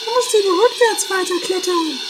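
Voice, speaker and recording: A performer playing a bossy, vain, theatrical sister in an improvised trashy comedy audio drama, loud and sarcastic. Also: very high-pitched, moderate pace, background noise. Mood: reproachful.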